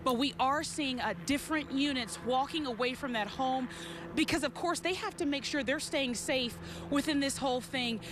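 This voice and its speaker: Woman news reporter live on the street, kinda high voice, urgent, choppers and traffic noise behind her. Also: moderate pace. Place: outdoors on a residential street. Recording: clear outdoor street and vehicle noise. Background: helicopters and vehicles.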